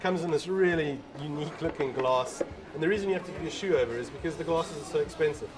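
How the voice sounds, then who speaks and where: A man co-hosting a casual beer tasting show, upbeat and quick to laugh. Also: a little high.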